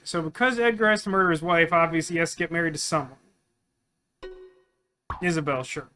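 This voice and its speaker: A man's voice: fairly high pitch, a little fast, pushed and bright, soft background music. A man doing casual let's play commentary, musing and thinking aloud as he works through puzzles. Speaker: gaming video host.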